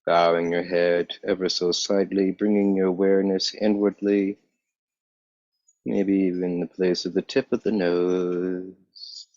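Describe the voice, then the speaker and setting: A man guiding a yoga meditation as a teacher, speaking calmly and in measured short phrases with pauses. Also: sits low, no room sound. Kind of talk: guided yoga meditation instruction. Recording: dull, muffled lo-fi sound.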